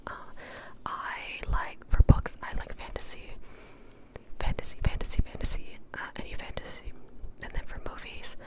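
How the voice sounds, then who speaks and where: Whispering young woman, casual ASMR. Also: moderate pace. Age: young adult. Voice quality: breathy, mostly unvoiced whisper. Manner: casual, rambling, repeats words softly. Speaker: ASMR creator answering viewer questions. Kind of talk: whispered ASMR question-and-answer.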